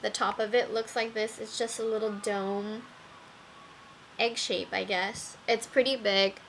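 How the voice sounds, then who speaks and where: A young woman reviewing makeup brushes in a casual vlog, talking slowly with many ums while her voice wears out. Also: medium pitch, steady tone, noisy background. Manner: slow, with many ums and fillers. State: losing her voice. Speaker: beauty vlogger.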